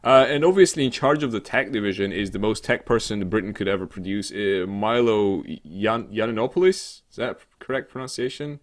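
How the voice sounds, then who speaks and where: A man co-hosting a casual, sweary comedic news chat in a halting, rambling, sarcastic way. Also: on the low side, expressive. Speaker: co-host of a weekly games show.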